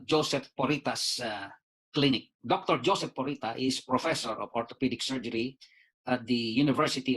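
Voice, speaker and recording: A Filipino-accented man in his sixties talks through a personal testimonial at a middle pitch. He speaks in a halting way, filling pauses with "uh" and repeating words. He often adds "you see" as he goes. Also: fairly bright tone, poor audio. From Philippines. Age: 60s. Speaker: doctor and lawyer giving a product testimonial. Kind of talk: casual spoken testimonial.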